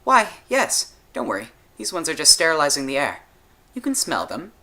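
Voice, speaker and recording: Man doing a high-pitched, polite lady doctor. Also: wildly swooping pitch, normal speed, sharp tone. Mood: polite, chatty.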